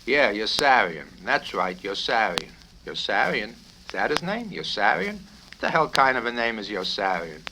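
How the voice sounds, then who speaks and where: A man reading a satirical novel, voicing a bullying, foul-mouthed military colonel who blusters and shouts his questions. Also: fairly low pitch, big pitch swings, moderate pace. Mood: badgering. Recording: noisy 1970s vinyl record with clicks.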